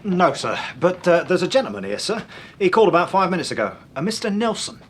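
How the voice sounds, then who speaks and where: An actor playing a brisk, deferential manservant in a vintage radio drama. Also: male voice, sits high, sing-song, a little hiss or hum.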